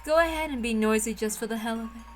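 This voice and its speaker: Woman acting a dry, taunting witch, fairly high-voiced. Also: quick pace, faint music behind, close to an everyday voice. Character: coolly menacing thousand-year-old witch in girl's form. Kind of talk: amateur dramatic reading of a visual novel.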